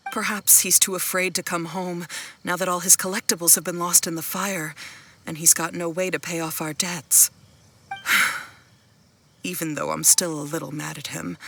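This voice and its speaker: A woman playing a worried, gentle but firm middle-aged wife and mother in a video game, speaking in an earnest, measured way. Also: on the low side, slight background noise. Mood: anxious, hopeful.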